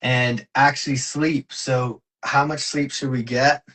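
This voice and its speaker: A man co-hosting a laid-back podcast rambles through his thoughts with lots of likes and ums, sometimes swearing offhand. His voice is mid-pitched with a husky, slightly breathy edge. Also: level tone, slow, fairly bright tone. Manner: rambling, filler-heavy, casual swearing. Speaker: podcast co-host. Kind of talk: casual podcast chat.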